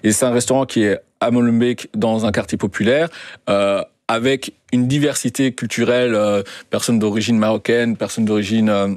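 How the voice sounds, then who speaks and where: A man's voice: on the low side, fairly even intonation, normal speed, darkish tone, dry recording, studio quality. A young adult man from Belgium, giving a conversational interview as a guest, hesitating and repeating words as he searches for phrasing. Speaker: interview guest, documentary maker.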